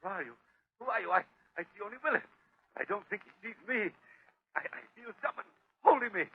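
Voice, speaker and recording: A man playing an anxious gentleman with a faltering delivery in a 1930s radio drama. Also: high-pitched, lively intonation, brisk, husky. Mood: bewildered, fearful. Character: anxious, panicky gentleman in money trouble. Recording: muffled old 1930s radio broadcast.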